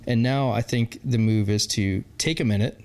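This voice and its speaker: A man's voice: medium pitch, moderate pace, a little mellow. A forty-year-old man answering interview questions as a brewery founder, candid and rambling, with lots of fillers and laughs. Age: 40s.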